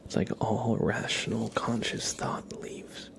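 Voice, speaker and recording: Whispering man with low, gravelly voice. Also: level tone, slow. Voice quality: soft breathy whisper, gravelly. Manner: gentle, rambling chat with viewers and pet. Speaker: live streamer greeting viewers. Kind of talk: ASMR livestream casual chat.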